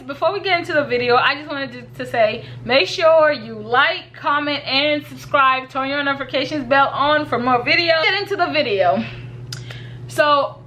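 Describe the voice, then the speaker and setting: Young woman, from Kenya, earnest vlog advice, bright tone, high pitch. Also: slight background noise. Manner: earnest, repeats herself, laughs lightly. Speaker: YouTuber.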